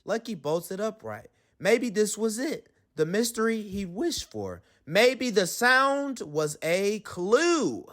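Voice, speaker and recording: A man reading a children's storybook aloud as host, in an expressive, dramatic storytelling manner. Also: sits high, takes it slowly, few pauses.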